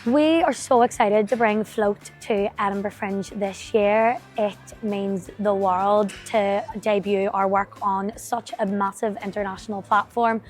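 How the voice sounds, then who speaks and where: A woman's voice: medium pitch, steady tone, a little slow, hardly any pauses, soft background music. A woman giving a promotional interview as a member of a theatre company.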